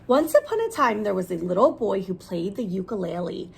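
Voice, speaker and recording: A woman teacher reading a picture book aloud expressively, pausing to explain things in simple words for young children. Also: medium pitch, big pitch swings, creaky, slight background noise.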